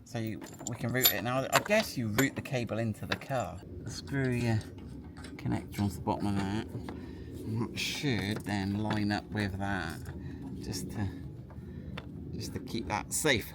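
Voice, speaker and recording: A man's voice: fairly low pitch, steady tone, a little slow. Chatty man demonstrating hardware, noisy background. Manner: chatty, thinking aloud as he works. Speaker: product presenter. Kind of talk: casual hands-on product demonstration. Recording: clear background noise.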